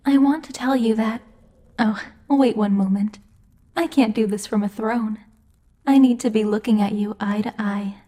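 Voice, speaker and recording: Mellow, high-voiced demon lady boss, acted by a woman. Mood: sincere, reassuring. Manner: measured, imperious, teasing, formal. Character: commanding female demon lord, teasing yet protective boss. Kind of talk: ASMR roleplay monologue.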